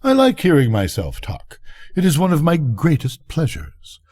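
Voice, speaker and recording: Man as vain talking firework, haughty, self-important, animated pitch, husky, storybook character. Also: moderate pace, exaggerated voice. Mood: self-satisfied. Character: pompous, conceited male firework rocket, haughty and vain.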